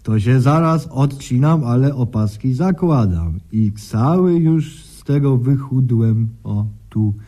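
A man's voice: mid-range voice, animated, slow pace, hardly any pauses, warm tone. A man playing an anxious, muddled, stubborn patient in a comic radio sketch, talking plaintively in folksy broken grammar.

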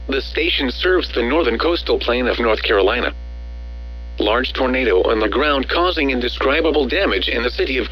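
A man's synthetic-sounding voice reading scripted emergency weather bulletins as a radio announcer, in a measured, formal, automated delivery. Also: medium pitch, a bit bright, rough recording.

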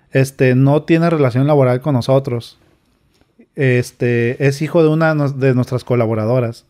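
A young man, telling a story as a podcast guest, animated, acting out quoted comments in a raised voice. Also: fairly high pitch. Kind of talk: casual storytelling. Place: small studio with a sofa. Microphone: studio mic on a boom arm, wearing headphones.

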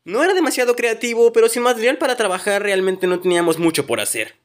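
A man narrating a comedic animated story in an expressive, exaggerated way. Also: high-pitched, fast talker. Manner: expressive, exaggerated comic delivery. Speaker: narrator of a fan-dubbed animated story video.

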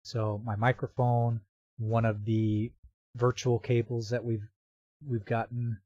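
A man narrating a step-by-step software tutorial in an informal, explanatory way. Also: medium pitch, level tone, slow, dry recording, lo-fi sound.

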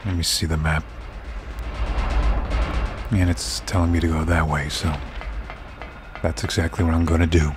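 Male gamer, very low soft voice that is giving out, casual play commentary over clear game music. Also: little pitch movement, moderate pace, long pauses. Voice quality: soft-spoken. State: losing his voice. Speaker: video game streamer. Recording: loud game music behind. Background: horror game soundtrack.

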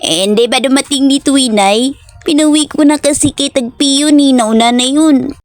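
A woman's voice: sits high, normal speed, no room sound, natural voice. A woman voicing a lazy, dim-witted young man in a cartoon story who talks in a sleepy, easygoing way. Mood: puzzled.

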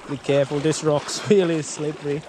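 A man giving casual running commentary while fishing on a rocky breakwall, recorded on a head-mounted action camera, breaking into excited whoops when a fish bites. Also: a little high, brisk. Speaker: fishing vlogger. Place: outdoors on a rocky breakwall by the sea. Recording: outdoor wind and water noise.

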